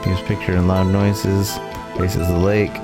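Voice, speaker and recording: A male streamer with a fairly low voice reads game dialogue aloud. His delivery is theatrical and a bit halting, with clear music in the background. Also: monotone. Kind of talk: dramatic read-aloud game playthrough.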